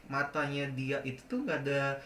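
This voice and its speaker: A man's voice: mid-range voice, fairly even intonation, moderate pace, bright, forceful tone, a little hiss or hum. A young man telling a ghost encounter in casual speech, hesitant and often correcting himself. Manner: hesitant, many self-corrections. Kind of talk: casual storytelling. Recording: roomy echo.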